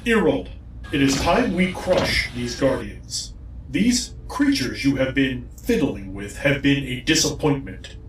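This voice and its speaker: A commanding, obsessed villain in an audio drama, drenched in echo. The delivery is deliberate and threatening, with dramatic swings of pitch, heard a little far from the mic. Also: male voice, big pitch swings. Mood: imperious, threatening. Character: commanding, obsessed villain hunting a lost loved one. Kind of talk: dramatic audio fiction acting. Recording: echoey, distant, faint noise.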